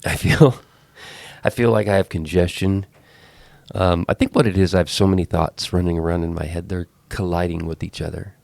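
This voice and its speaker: Low-voiced congested man hosting a podcast. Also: steady tone, normal speed, gravelly, darkish tone, dry recording. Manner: rambling, self-correcting. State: congested head cold. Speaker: podcast host. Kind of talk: casual talk.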